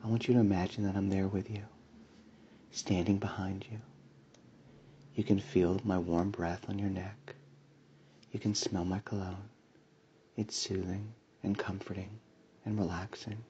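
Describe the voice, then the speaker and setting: A man delivering a slow hypnotic induction in a soft, soothing voice with breathy whispers and a repetitive commanding cadence. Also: low-pitched, flat delivery, highs cut off, slight background noise. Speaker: hypnosis audio creator.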